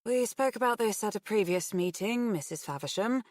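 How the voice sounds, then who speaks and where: A woman voicing a patient, matter-of-fact female police officer in an audiobook, official and brisk. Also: mid-range voice, expressive, a little mellow, no echo, clean studio sound, pitched above the performer's own voice, natural voice. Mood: firm.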